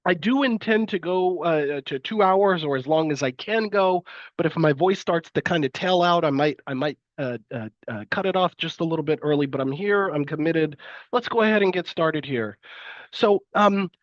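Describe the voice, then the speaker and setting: Male lecturer, sniffly from a cold, halting delivery, close and dry, a little dull in tone. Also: on the high side, no echo. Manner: hesitant, many fillers and restarts. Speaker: university lecturer. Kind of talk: live-streamed lecture.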